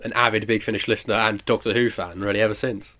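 A man's voice: fairly low pitch, level tone, quick pace, bright, forceful tone. A British man in his twenties being interviewed as a producer, chatting casually in a modest, rambling way and correcting himself. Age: 20s.

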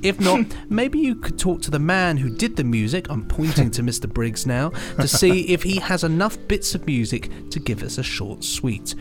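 A man's voice: a little high, big pitch swings, moderate pace, few pauses. A man co-hosting a podcast, chatting and reading aloud in a presenter's style. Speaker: podcast co-host. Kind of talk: podcast chat and reading listener mail aloud. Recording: music bed behind. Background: clear music.

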